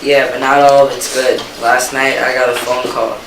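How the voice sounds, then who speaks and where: Flat-toned male student reader, distant. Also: mid-range voice, moderate pace. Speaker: student performer. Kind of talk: scripted readers theater, read aloud. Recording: noisy echoey room, distant mic.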